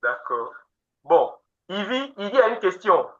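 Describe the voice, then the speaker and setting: A man hosting a webinar, answering listeners' questions in a steady explaining manner and counting off his points in order. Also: high-pitched, a little slow. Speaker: webinar host answering questions on natural detox treatments. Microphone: online video call. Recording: dull lo-fi call audio.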